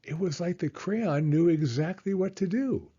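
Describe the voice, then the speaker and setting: Man storyteller with lively, sweeping pitch. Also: husky, highs cut off. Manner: theatrical, suspenseful, with drawn-out emphasis. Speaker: children's storybook reader. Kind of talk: dramatic read-aloud storytelling for children.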